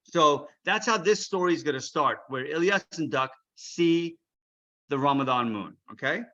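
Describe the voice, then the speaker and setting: A man reading a children's storybook aloud as its author, expressive and checking in with his listeners. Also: on the high side, moderate pace, dull audio.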